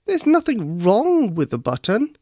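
A storyteller voicing an earnest, forlorn little male toy bear in a plaintive, childlike, expressive way for a children's story. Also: medium pitch, measured pace, dry recording, clean studio sound, pitched above the performer's own voice, full character voice. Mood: glum.

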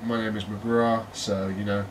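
A man's voice: on the low side, flat delivery, a little slow. A man with a British accent co-hosting a casual tech podcast, chatty and jokey, often breaking into laughter. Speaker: podcast co-host. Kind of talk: casual chat. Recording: distant mic with faint noise.

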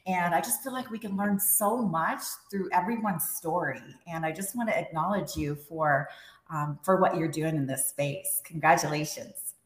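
A woman's voice: low-pitched, moderate pace, echoey room, poor audio. A woman who grew up in Texas, talking as a podcast guest in a casual, upbeat way and laughing often. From USA, Texas.

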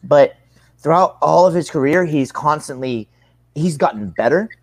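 A man's voice: on the high side, lively intonation, moderate pace, creaky, no echo. A young man giving casual interview answers as a combat sports athlete, earnest and rambling, often rephrasing himself.

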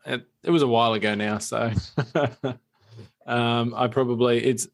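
Male Australian podcast co-host. Creaky mid-range voice, casual, halting, dryly joking. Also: mid-range voice, steady tone. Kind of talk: casual chat.

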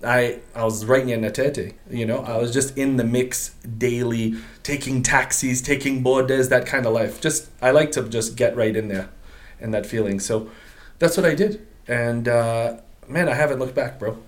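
A middle-aged man from Portugal, a guest on an interview podcast, telling his story in a relaxed, slangy way with many fillers and restarts. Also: medium pitch, moderate pace. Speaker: guest, business owner. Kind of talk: casual interview chat.

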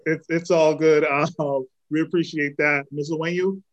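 A man's voice: a little high, fairly even intonation, a little slow, lo-fi sound. A man moderating a panel over a video call in a loose, familiar way, laughing often.

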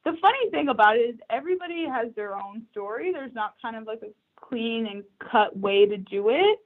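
A woman's voice: on the high side, lively intonation, normal speed. Young woman recruiter, upbeat and chatty, laughs easily, thin phone-quality audio. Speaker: recruiter, podcast guest. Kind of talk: casual interview chat. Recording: tinny phone-like line.

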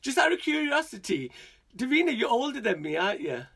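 Giggly high bright man. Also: sing-song, gravelly, slightly rough. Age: 30s. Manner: giggly, self-deprecating, halting with fillers. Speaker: guest drag performer. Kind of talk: casual interview chat.

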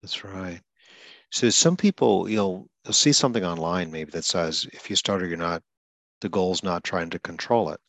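A low-pitched older man talks in a slow, deliberate, explaining way and stumbles lightly on a word now and then. The sound is dry and close, with no room echo. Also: fairly bright tone. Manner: explains slowly and deliberately, stutters lightly himself. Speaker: speech coach interviewing a client. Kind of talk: calm conversational interview.

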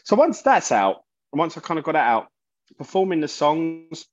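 A man, a musician guest in an interview, talking haltingly and often restarting his sentences. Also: a little high, sing-song. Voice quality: loud voice. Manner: halting, searching for words, many restarts. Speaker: musician guest, bassist and singer. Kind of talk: casual interview talk. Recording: dull lo-fi sound.

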